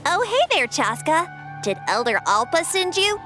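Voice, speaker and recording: A woman's voice: very high voice, sing-song, normal speed, over music. A woman voicing a chipper young female staffer in a video game. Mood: bright, friendly. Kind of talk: video game character dialogue.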